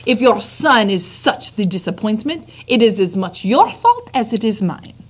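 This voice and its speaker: A woman's voice: medium pitch, sing-song, normal speed, slight background noise, close to an everyday voice. A woman voicing a haughty, dismissive mother mouse with a put-on French accent. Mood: scornful. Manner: dismissive, mocking.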